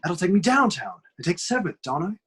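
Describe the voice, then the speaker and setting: A man playing a stubborn, anguished superhero husband and father in a dramatic read of an animated film script, sounding urgent and strained. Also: high voice, a little fast, husky, exaggerated voice. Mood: frustrated, urgent.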